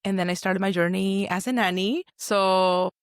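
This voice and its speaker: Dry-recorded woman from Mexico, mid-pitched. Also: steady tone, moderate pace, clear, resonant tone, dry recording. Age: 30s. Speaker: podcast guest, small business owner. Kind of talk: casual conversational interview.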